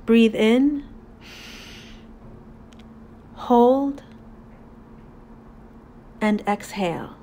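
A woman narrating a guided meditation in a calm, deliberate, soothing manner with long pauses. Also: a little high.

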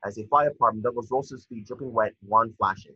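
Low, husky man reading stage directions. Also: quick pace. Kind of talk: scripted narration in amateur table read. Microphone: video call. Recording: muffled video-call audio.

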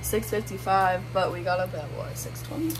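A young woman chatting quickly and cheerfully with friends on a weekend out. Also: mid-range voice, fairly even intonation, background noise. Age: young adult. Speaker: friend on the trip.

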